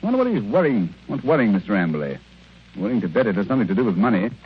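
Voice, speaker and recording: British gentleman detective, quick-talking, swooping intonation, sardonic, clipped, radio play, old recording. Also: lowish voice, big pitch swings, lo-fi sound, a little hiss or hum. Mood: shrewd, wry. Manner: clipped, dry, impatient, wryly amused. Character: brisk, sardonic, coolly superior gentleman detective. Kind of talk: mid-century radio drama acting.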